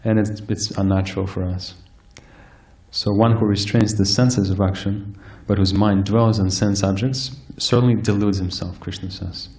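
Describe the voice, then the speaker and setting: A man giving a scripture lecture, soft-spoken and hesitant, with frequent fillers and restarts. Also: on the low side, fairly even intonation, normal speed. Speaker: speaker giving a scripture class. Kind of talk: sermon-style lecture. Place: temple hall with live audience.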